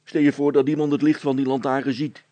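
A man voicing a gruff village constable in a children's audiobook, blustering and dismissive but secretly timid. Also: medium pitch, level tone, fairly quick, mellow, no room sound, voice pushed up, close to an everyday voice. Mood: blustering, uneasy.

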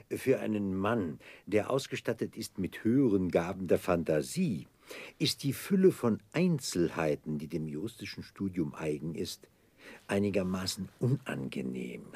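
An actor in a German radio play playing a pompous, grandiloquent gentleman clerk with a florid, declamatory way of talking. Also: sits low, very animated, a little slow, husky, no room sound, full character voice. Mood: grandly pompous. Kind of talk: 1960s German radio drama acting.

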